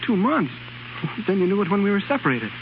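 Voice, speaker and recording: A man's voice: sits high, sing-song, fast talker. An American man acting in a 1930s radio comedy-drama as an agitated, stubborn young husband, stammering and insistent, on a muffled, noisy old recording. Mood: hurt, indignant. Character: agitated, stubborn young husband pleading with his estranged wife.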